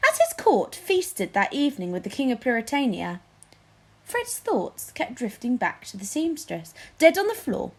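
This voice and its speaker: Animated woman reading a children's story. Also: high voice, moderate pace. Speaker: storybook reader, teacher. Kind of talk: expressive children's story narration.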